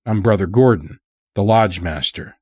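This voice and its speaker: A man acts out a curt, guarded leader of a sacred order in a dark audio tale. His voice is husky and dry, with little room sound. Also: lowish voice, normal speed, no echo. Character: guarded, terse male lodge master of a sacred order.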